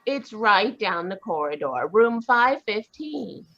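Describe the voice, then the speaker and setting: A woman voicing a brisk, independent, sharp-tongued spinster in a live radio drama, with spirited, indignant retorts. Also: mid-range voice, big pitch swings, full character voice. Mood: flustered. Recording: dull lo-fi video call audio.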